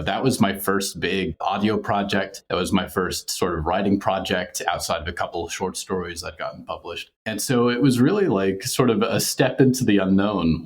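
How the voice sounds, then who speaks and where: A man giving reflective, conversational interview answers, peppering his speech with "like" and "sort of". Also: lowish voice. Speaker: podcast creator being interviewed.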